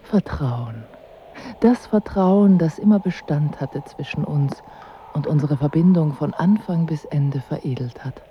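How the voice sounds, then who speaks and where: An actress playing a languid, coolly ironic royal wife in a radio drama, with dry and formal diction. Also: female voice, low voice, measured pace, dull audio, slight background noise. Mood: evasive, wistful. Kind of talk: literary radio drama acting.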